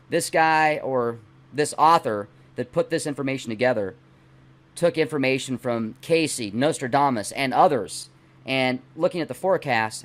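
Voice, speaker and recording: A middle-aged man, not a native English speaker, hosting and reading aloud in deliberate, emphatic phrases with casual asides. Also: medium pitch, a bit bright. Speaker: host reading a book aloud and commenting. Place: small dim indoor home studio.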